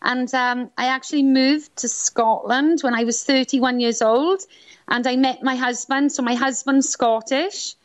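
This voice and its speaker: A Welsh woman with a high, light voice speaks earnestly and with enthusiasm as a radio guest. The line comes through dull and muffled, with a dry sound and no room around it. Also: fairly even intonation, measured pace, no room sound. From South Wales. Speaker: guest author. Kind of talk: radio interview.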